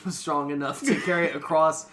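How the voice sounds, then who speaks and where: A man's voice: a little high, fairly even intonation, brisk, background noise. A man co-hosting a reading show, narrating a fantasy story and discussing it casually. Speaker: narrator and co-host.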